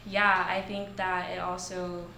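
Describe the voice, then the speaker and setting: A woman's voice: fairly low pitch, monotone, unhurried, gravelly. A woman talking casually in a group discussion, reflective and a little hesitant. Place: indoor room at a group table read. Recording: roomy echo, noticeable noise.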